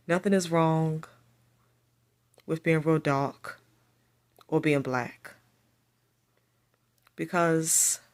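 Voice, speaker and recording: Slow, soft-spoken woman with a stutter. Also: fairly low pitch. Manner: speaks with a stutter, repeats phrases. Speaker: vlogger. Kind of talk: casual personal storytelling to camera.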